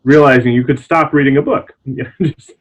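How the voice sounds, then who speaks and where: A man's voice: mid-range voice, fairly even intonation, a little fast. Slightly muffled, lo-fi sound. A man with a mid-range voice talks informally and reads bits aloud, in the manner of a librarian on a casual panel. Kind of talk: reading reviews aloud and casual chat.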